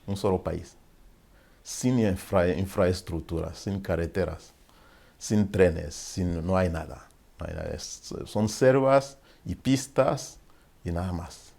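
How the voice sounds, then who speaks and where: A man in his forties from Rwanda, a priest who is an interview guest, recounting his life in a reflective way and pausing to search for words. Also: sits low, vocal fry, darkish tone. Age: 40s.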